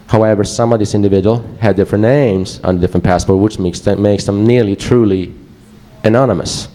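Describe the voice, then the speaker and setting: A man's voice: lowish voice, steady tone, a little fast, dark tone. A man with a Swedish accent giving a casual, joking conference presentation to a live audience. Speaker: conference speaker.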